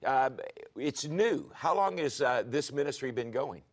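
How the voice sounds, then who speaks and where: A man's voice: medium pitch, animated, a little slow. Older man hosting a faith talk show. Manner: earnest, emphatic, preacherly asides to viewers. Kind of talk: faith TV interview. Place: television studio set, indoors.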